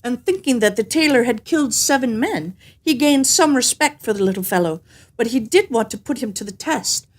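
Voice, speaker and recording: A woman reading a fairy tale aloud as an expressive, scripted narration. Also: a little high, moderate pace. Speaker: storyteller.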